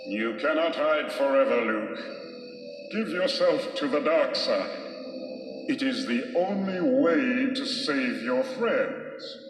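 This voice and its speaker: A man playing a commanding dark lord and fallen father in a dramatised storybook, with deliberate, resonant delivery. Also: medium pitch, animated. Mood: menacing, taunting. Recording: long echoing reverb, music behind.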